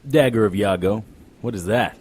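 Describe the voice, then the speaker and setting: An actor playing a wry, unflappable occult detective, narrating an audio drama in a dry noir style. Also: male voice, fairly low pitch, normal speed, a little mellow, slightly rough.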